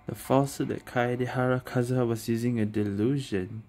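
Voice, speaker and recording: A woman's voice: a bass voice, steady tone, a little fast, dark tone, faint music behind. A woman streaming a video game, giving short casual reactions.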